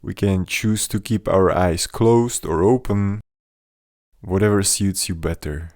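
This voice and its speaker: Slow, low-voiced man guiding meditation. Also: no room sound, studio quality. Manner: gentle, measured, instructional. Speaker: meditation guide. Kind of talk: guided mindfulness meditation.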